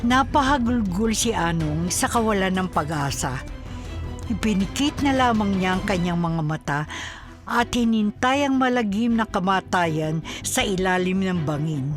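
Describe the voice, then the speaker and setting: A woman's voice: mid-range voice, animated, unhurried, music in the background. A woman with a Filipino accent reading scripted radio drama narration.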